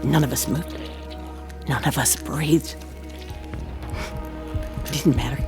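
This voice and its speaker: An actress playing an earnest, proud housekeeper who tells a haunting tale in a deliberate, expressive way. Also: female voice, a bass voice, music in the background, heavily acted. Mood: haunted, grave. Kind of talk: dramatic audio-drama storytelling.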